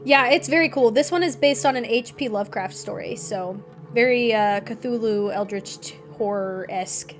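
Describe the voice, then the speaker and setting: A woman's voice: a little high, normal speed, few pauses. A woman live streamer giving casual game commentary in short, wry, playful asides. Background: music.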